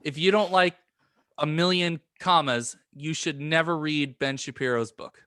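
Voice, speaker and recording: A man with a fairly high voice interjects in casual podcast chat. The sound is dry, with very little room to it. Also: unhurried, fairly bright tone, dry recording.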